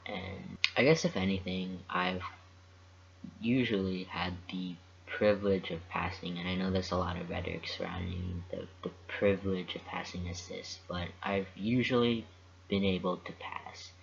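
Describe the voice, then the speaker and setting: A young man with a deep, low voice talks through his thoughts in a calm, measured vlog monologue, pausing often as he reflects. The recording is dull and lo-fi, with a faint hiss underneath. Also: creaky. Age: young adult. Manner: thoughtful, measured, with frequent pauses. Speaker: vlogger.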